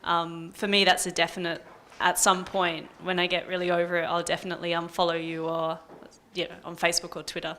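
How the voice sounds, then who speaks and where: Bright, lowish-voiced woman. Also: level tone, brisk, slight background noise. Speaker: radio presenter on a workshop panel. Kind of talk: casual panel advice. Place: live panel workshop indoors.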